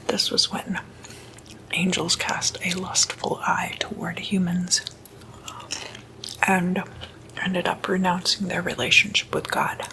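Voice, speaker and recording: A woman hosts an ASMR talk in a bright, close whisper with a noticeable crackle of vocal fry. She takes her time, laying out short, halting phrases in an informal lecturing style. Her intonation stays quite even throughout. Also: fairly low pitch. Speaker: ASMR host.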